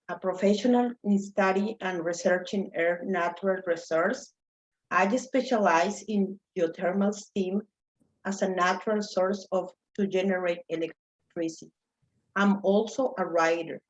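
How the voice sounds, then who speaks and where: A woman from Mexico, a native Spanish speaker with a Mexican accent, telling her migration story as a guest speaker, careful and deliberate. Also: mid-range voice, steady tone, muffled recording, slight background noise. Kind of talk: prepared personal story.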